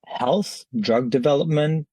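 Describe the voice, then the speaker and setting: A man in his thirties with a slight French accent, a native French speaker, explaining things in a measured interview answer with some fillers. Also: a little high, warm tone, breathy edge, no echo, studio quality. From France. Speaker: podcast guest.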